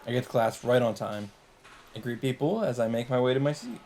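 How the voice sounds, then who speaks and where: A man hosting a let's play, reading visual novel narration aloud in a measured, dramatic way with asides. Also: medium pitch, darkish tone, a little hiss or hum. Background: guitar game music.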